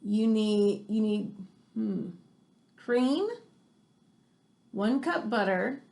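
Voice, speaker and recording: A woman's voice: medium pitch, very slow, creaky, a little mellow. A woman reading a nonfiction book aloud for children, chatty and exclamatory, with casual asides.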